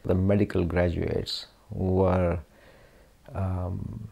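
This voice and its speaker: Low gravelly man. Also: flat delivery, mellow. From Pakistan. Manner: hesitant, reflective, many pauses and fillers. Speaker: hand surgeon. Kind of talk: documentary interview.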